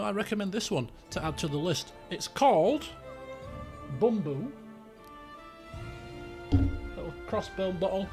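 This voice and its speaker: A British man hosts a casual game stream in a high voice with a croaky, creaky edge. He rambles along chattily in colloquial language, with faint music behind him. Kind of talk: casual livestream chat.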